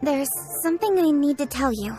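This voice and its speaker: A woman's voice: high-pitched, lively intonation, brisk, darkish tone. A woman voicing a proud, conscientious young official in a video game, speaking formally and precisely with a slightly stiff manner. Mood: solemn. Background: faint game music.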